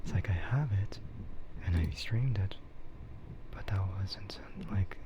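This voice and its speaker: A man streaming ASMR in a soft, breathy whisper, chatting casually and unhurriedly in a meandering way that trails off. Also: low voice, slow. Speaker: streamer hosting an ASMR livestream. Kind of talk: ASMR, rambling casual chat about games and anime. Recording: muffled lo-fi sound with clear noise.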